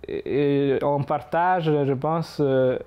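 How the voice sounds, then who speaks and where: A man's voice: on the high side, fairly even intonation, takes it slowly, clean tone, highs cut off. A man in his thirties from Italy with an Italian accent, speaking hesitantly with frequent fillers in an interview. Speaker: immigrant interviewee. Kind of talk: reflective interview talk.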